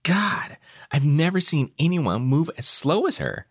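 A man narrating a short story in an expressive, conversational first person, with wry emphasis. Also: on the high side, moderate pace, no room sound, clean studio sound.

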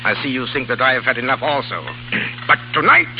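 An actor playing a doting, tipsy older baron who stammers, repeats himself and rambles in 1930s radio drama style. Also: male voice, mid-range voice, sing-song, fairly quick, faint music behind, natural voice. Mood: tipsy, jovial. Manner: stammering, repetitive, chuckling. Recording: dull, hissy 1930s radio recording.

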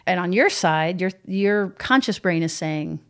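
A woman's voice: fairly low pitch, expressive, unhurried, clean tone. Woman explaining with laughter. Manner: explanatory, laughs often while making points. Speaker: podcast co-host. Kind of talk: conversational podcast discussion.

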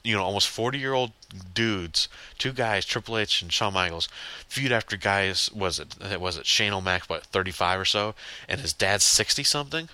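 A man's voice: on the low side, lively intonation, moderate pace, pushed and bright, no room sound. A young man hosting a casual solo podcast, blunt and swearing as he rambles, with a stuffy, congested nose. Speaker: podcast host.

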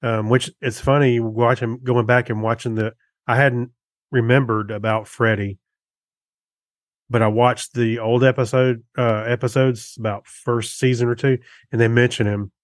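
A male podcast co-host with a medium-pitched voice, recorded dry. He chats casually and reviews in a halting, rambling flow, circling back as he goes. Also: fairly even intonation, no room sound. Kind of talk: casual chat reviewing TV shows.

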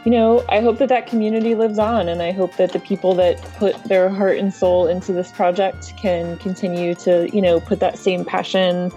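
A woman speaking as an interview guest in a casual, thoughtful, sincere and measured way. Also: on the low side, flat delivery, warm tone. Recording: dull lo-fi link, faint music.

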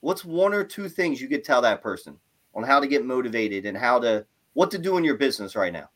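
A man hosting a casual interview chat, talking in an animated, rambling way with lots of "like" and "right?". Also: medium pitch, fairly quick. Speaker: podcast host.

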